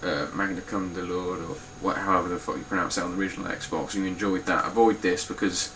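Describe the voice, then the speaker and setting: A man's voice: low voice, level tone, fast talker, a bit bright, slight background noise. A man with a stuffy, nasal voice giving a casual, blunt spoken review of his game collection, with frequent ums and some swearing.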